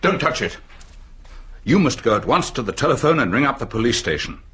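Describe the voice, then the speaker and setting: An actor playing a level-headed, authoritative village doctor in a radio play, brisk and insistent. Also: male voice, mid-range voice, big pitch swings, sharp tone, breathy edge, exaggerated voice. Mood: commanding.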